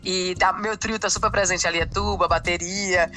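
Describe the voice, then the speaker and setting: A man's voice: high voice, fairly even intonation, normal speed, bright, forceful tone, faint music behind. A man from Salvador speaking, a musician chatting enthusiastically about his songs as an interview guest. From Salvador, Bahia, Brazil. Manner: enthusiastic, rambling, many fillers. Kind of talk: casual interview chat.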